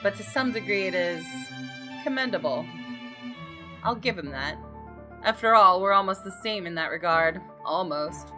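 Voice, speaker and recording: A low-pitched woman voicing a haughty, menacing homeowner narrates a visual novel. The delivery is brooding and arrogant, like private thoughts read out dramatically. Music plays clearly behind the voice. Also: lively intonation, moderate pace, pushed and bright. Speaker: gaming video host.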